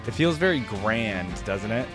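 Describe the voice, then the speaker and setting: A man giving casual reaction commentary in an informal way, as if thinking out loud. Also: on the low side, very animated, measured pace. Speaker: reaction video host. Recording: music playing behind the voice. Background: game music and character voice lines.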